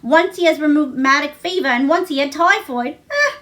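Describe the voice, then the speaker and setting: A woman's voice: high voice, animated, moderate pace, a bit bright. A woman voicing a blunt, gossipy young housemaid from a storybook, speaking in rustic dialect. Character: young Yorkshire housemaid, chatty, blunt, anxiously deferential to masters.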